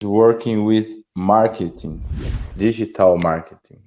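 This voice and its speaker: A young Brazilian man with a Portuguese accent, speaking haltingly as a student in an online English lesson over a video call. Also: on the low side, warm tone. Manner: halting, searching for words. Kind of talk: online language lesson conversation.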